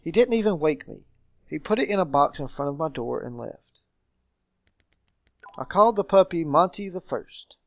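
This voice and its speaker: A man voicing a brooding gentleman guardian in a game, telling his story in a formal, melancholy manner. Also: on the high side, expressive, normal speed, pauses often, no room sound, clean studio sound. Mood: regretful.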